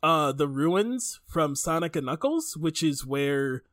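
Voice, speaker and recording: A man's voice: fairly high pitch, expressive, takes it slowly, fairly bright tone, no echo, studio quality. A man co-hosting a podcast, talking casually about video games in a rambling, stop-start way. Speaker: podcast co-host.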